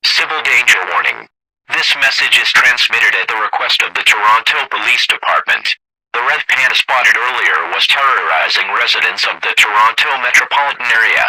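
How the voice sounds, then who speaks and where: Male alert announcer, lowish and very sharp voice with some rasp, scripted and impersonal broadcast delivery. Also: animated, normal speed, no echo, rough recording. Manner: formal, impersonal.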